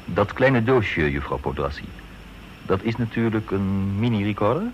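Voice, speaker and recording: Man doing a nosy army major in a radio play, lowish voice, insinuating, muffled old recording. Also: moderate pace, natural voice. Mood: probing. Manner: probing, insinuating. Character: inquisitive, needling male army major. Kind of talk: radio drama acting. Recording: dull, noisy old radio drama recording.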